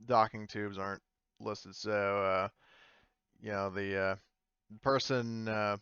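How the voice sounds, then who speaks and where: Male game master, smooth and dry-sounding, halting casual delivery at a tabletop game. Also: on the low side, fairly even intonation, a bit bright, no room sound, dull audio.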